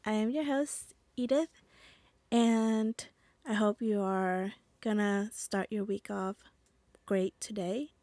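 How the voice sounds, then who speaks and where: A young adult woman hosting a casual podcast alone, talking in a chatty, rambling way with a stuffy, slightly rough, nasal voice from allergies. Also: medium pitch, steady tone, slow, warm tone, no echo. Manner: chatty, rambling with fillers and restarts. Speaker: podcast host. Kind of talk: casual solo podcast chat.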